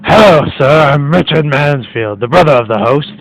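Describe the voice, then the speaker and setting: A man's voice: a little high, expressive, moderate pace, gravelly, dry recording, low-quality recording. A man playing a rich host's superior, hot-tempered brother in a radio mystery. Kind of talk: radio mystery play acting.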